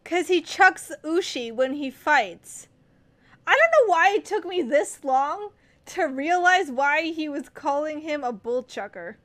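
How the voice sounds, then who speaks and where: A woman's voice: sits high, lively intonation, a little slow, fairly bright tone. A woman giving casual, gushing commentary as she plays through a video game. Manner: gushing, giggly reactions. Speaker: gaming video creator.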